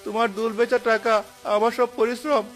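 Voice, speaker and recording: A man's voice: very high voice, flat delivery, brisk, fairly bright tone, soft background music. A man playing an honest, poor young food-stall owner in a cartoon, wronged and speaking with anguished earnestness. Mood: despairing. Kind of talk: animated cartoon dialogue.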